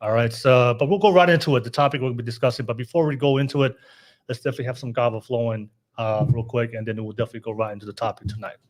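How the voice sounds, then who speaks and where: A man's voice: medium pitch, steady tone, racing, slight background noise. A man hosting a casual live podcast, upbeat, laughing often and restarting his sentences. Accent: Californian. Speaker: podcast host.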